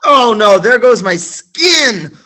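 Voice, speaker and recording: A teenage actor playing a doomed soldier in a school radio play, crying out in over-the-top comic death throes. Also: male voice, very high-pitched, animated, takes it slowly, crowd noise, exaggerated voice. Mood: hammy dying. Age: teens. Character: doomed young soldier, melodramatic and hammy.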